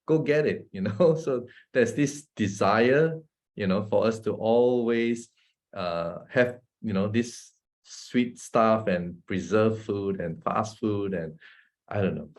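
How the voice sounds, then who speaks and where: A man's voice: lowish voice, slow pace. A man, a doctor giving an informal health talk, chatty and constantly asking his listeners "right?" and chuckling between points. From Malaysia. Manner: chatty, often asks the audience "right?" and "guess what?".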